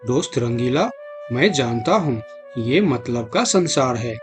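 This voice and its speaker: A man voicing a loyal, caring bear in an animated moral story, speaking earnestly and consolingly. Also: medium pitch, a little slow, over music, close to an everyday voice.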